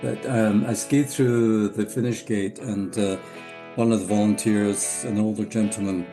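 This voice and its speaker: An older man from the west coast of Scotland with a Scottish accent, telling his story as a podcast guest in an unhurried, reflective way. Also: lowish voice, steady tone, music in the background. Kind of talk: casual interview talk.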